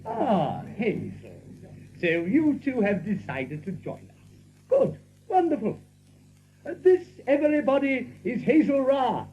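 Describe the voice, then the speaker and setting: Swooping, genteel host rabbit. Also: male voice, extremely high pitch, sing-song, takes it slowly, warm tone, heavily acted. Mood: welcoming. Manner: genteel, fussy, over-polite. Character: effusively polite, genteel host rabbit, smoothly evasive. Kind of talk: audio drama acting. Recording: muffled, faintly hissy old audiobook recording.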